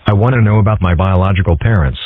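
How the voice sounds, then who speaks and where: A young cartoon animal boy voiced by a man, earnest and deadpan, with a surprisingly low, husky voice. The sound is close and dry but thin and phone-like. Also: fast talker, no room sound. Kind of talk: scripted comic-strip dub. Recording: dry, muffled phone-like audio.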